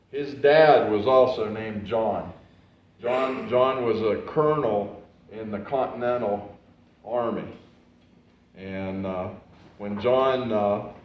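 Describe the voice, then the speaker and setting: A man giving a casual library talk as a historical reenactor, with a loud voice and a loose, rambling way of telling, full of "uh"s and restarts. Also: on the low side, a little hiss or hum. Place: library meeting room. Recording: very echoey, distant, dull room recording.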